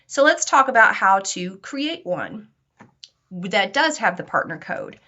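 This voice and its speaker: A woman instructor walking through software step by step in an informal, conversational and instructive way. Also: medium pitch, sing-song, a little slow, highs cut off.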